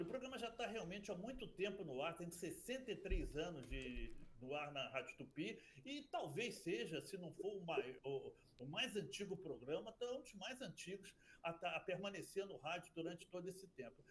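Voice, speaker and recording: A man's voice: on the high side, a little hiss or hum, low-quality recording. A man who works as a radio broadcaster and producer, talking in a chatty interview and telling stories with many restarts. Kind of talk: casual interview talk.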